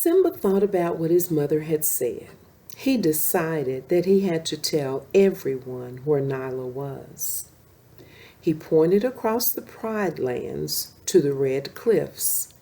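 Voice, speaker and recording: Female children's story reader: mellow, expressive, lively intonation, creaky edges. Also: sits low. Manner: pauses to explain pictures to listeners. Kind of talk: expressive read-aloud storytelling.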